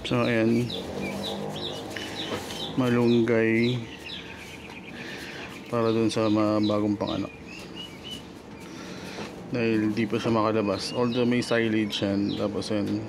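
A man vlogging casually as a farmer on a walk-around, speaking off the cuff in short phrases with pauses. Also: on the low side, level tone. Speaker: farm vlogger, goat farmer. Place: outdoors on a rural backyard goat farm. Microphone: handheld phone behind the camera. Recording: noisy outdoor phone recording, farm animals. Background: birds and animals, outdoor farm ambience.

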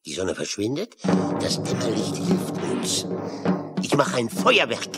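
A hearty, swaggering sailor in a children's radio play. He has a mid-pitched, gravelly voice that swings about expressively, with music behind him. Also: wildly swooping pitch, measured pace. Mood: resourceful. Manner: swaggering, exclamatory oaths. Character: hearty, boastful giant sailor uncle with wooden leg.

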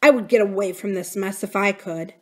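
A woman's voice: mid-range voice, brisk, lightly acted. A woman voicing a stubborn, hurt young girl who speaks intensely and defiantly in an audiobook story. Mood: distressed, resolute. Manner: intense, emphatic.

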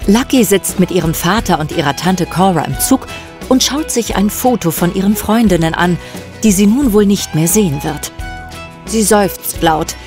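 A woman's voice: mid-range voice, animated, normal speed, a little mellow, faint music behind. A woman narrating a scripted children's audio drama as its storyteller.

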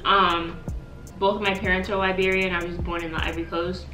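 A woman's voice: on the low side, level tone, a little fast, echoey room, music in the background, rough recording. A young woman in her twenties chatting casually while eating on camera in her living room, with lots of "like". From United States. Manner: chatty, eating between sentences, frequent "like". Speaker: YouTuber. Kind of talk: casual mukbang chat. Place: living room, seated on floor by sofa. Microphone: camera on tripod at a distance.

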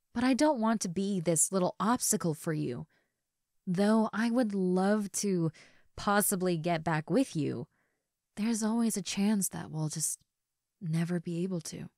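A woman acting a tender, regretful young substitute teacher in an intimate roleplay monologue, speaking hesitantly with soft sighs on a close mic. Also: mid-range voice, no echo, lightly acted. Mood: gentle, regretful. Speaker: roleplay audio voice actress. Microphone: close studio mic, dry.

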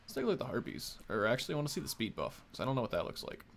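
A man's voice: mid-range voice, huge pitch swings, very fast, gravelly. A man, giving informal, enthusiastic game preview commentary. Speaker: gaming video host. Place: small indoor room at a desk. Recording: faint background noise and clicks.

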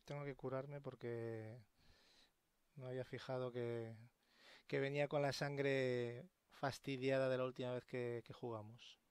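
A man's voice: medium pitch, flat delivery, unhurried, no room sound. A man with a Castilian Spanish accent giving casual, sweary gameplay commentary, muttering to himself as he plays. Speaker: let's player. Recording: clear game ambience noise behind. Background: sci-fi horror game sound.

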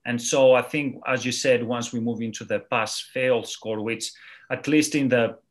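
A man's voice: mid-range voice, fairly even intonation, unhurried. A man in his thirties from Greece, a surgical resident, explaining things earnestly with many ums and you-knows over a video call. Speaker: neurosurgery resident guest. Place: small room at home, indoors. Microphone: video call webcam mic.

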